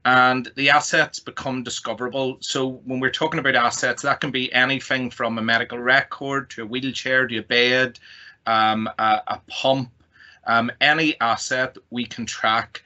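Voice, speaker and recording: A man's voice: medium pitch, fairly even intonation, moderate pace, sharp tone. A man with a Northern Irish accent giving a semi-formal online presentation as a company representative. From Northern Ireland. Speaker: technology company executive, guest presenter. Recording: dull lo-fi online call audio.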